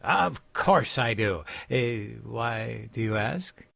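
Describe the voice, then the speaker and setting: Casting: male actor as naive, dim-witted President-elect for comedy audio drama, creaky voice, animated and boyishly earnest. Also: mid-range voice, slow pace, no room sound, exaggerated voice. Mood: cheerful.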